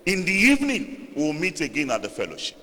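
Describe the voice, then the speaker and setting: A man's voice: wildly swooping pitch, fast. Nigerian Yoruba man, pastor, emphatic sermon delivery, echoing hall. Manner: emphatic, rising to loud stressed phrases, then dropping low. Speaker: preacher. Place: church hall. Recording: reverberant hall.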